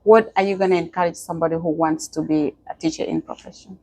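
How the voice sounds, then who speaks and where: A woman hosts a talk show interview and keeps her guest going with constant short affirmations. Her voice is slightly husky and recorded dry and close. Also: lowish voice, fairly even intonation, quick pace, mellow, dry recording. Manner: encouraging, with frequent backchannel affirmations.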